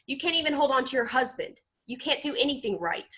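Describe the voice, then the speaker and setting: An actress in a play reading, playing a scornful teenage daughter with a blunt, accusing manner. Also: female voice, high-pitched, animated, fast, bright, forceful tone, slightly rough, exaggerated voice.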